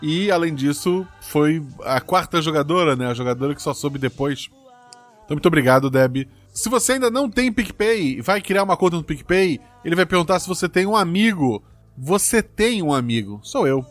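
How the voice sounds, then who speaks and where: A man's voice: on the high side, lively intonation, a little fast, faint music behind. Man game master narrating with a joking tone. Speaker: game master and podcast host.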